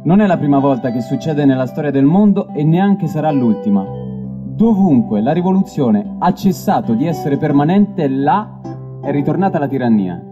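Guy doing a dramatic stage reading, slow deliberate phrasing, dull recording with loud bass plucking behind. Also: lively intonation. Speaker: stage reader of literary texts. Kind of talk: dramatic literary reading over live music. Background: live stick bass music.

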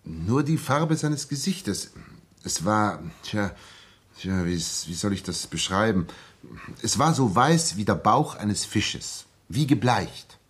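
Earnest, husky-voiced former soldier. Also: male voice, on the low side, very animated, takes it slowly, full character voice. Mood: insistent, troubled. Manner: earnest, emphatic, well-spoken. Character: earnest, determined male ex-soldier worried for his comrade. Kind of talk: 1960s German radio drama acting.

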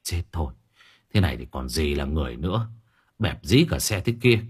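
Man playing a panicked onlooker, frantic, stammering, lively pitch, husky. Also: fairly quick, exaggerated voice. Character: panicked bystander in a crowd.

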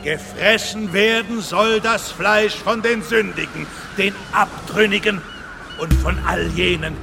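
A man's voice: high voice, slow, gravelly, noisy background, heavily acted. A man playing a fanatical preacher, solemn and declamatory, in a German radio drama. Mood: solemn zeal. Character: fanatical armed preacher proclaiming apocalyptic scripture.

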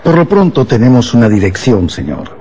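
Police inspector played by a male actor, mid-pitched, practical, animated delivery, gives orders, radio drama. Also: moderate pace, natural voice. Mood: businesslike. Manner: businesslike, giving orders.

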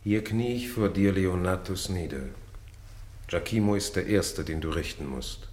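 An actor playing a remorseful villain who offers himself for judgement, grave and subdued, in a classical radio drama. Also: male voice, low-pitched, unhurried, darkish tone. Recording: echoey, reverberant room.

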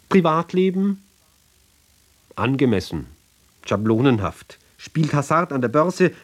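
A man reads a literary text for radio at a very slow pace, in a mid-pitched voice. He sets out short, clipped phrases one by one in a declamatory way, with a pointed, sardonic edge.

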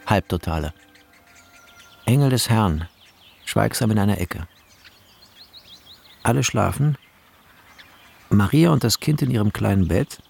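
A man reading screenplay shot directions as solemn, measured scripted narration for a radio play. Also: fairly low pitch, lively intonation, gravelly, a little mellow, breathy edge, heavily acted. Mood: hushed, measured.

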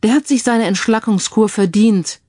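A woman's voice: fairly high pitch, normal speed, dark tone, dry recording, studio quality, natural voice. Woman acting a confident, no-nonsense female detective. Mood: firm, explaining.

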